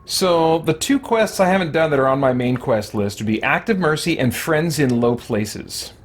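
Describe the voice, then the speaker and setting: A man's voice: a little high, lively intonation, normal speed. A man giving casual, rambling gameplay commentary as he thinks aloud. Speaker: let's play host.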